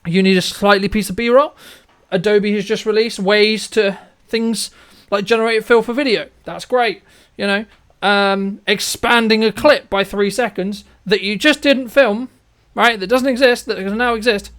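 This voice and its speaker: High-pitched man giving emphatic opinions. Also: normal speed, fairly bright tone. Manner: emphatic, repeats phrases for emphasis. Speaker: podcast host and filmmaker. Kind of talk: opinionated interview chat.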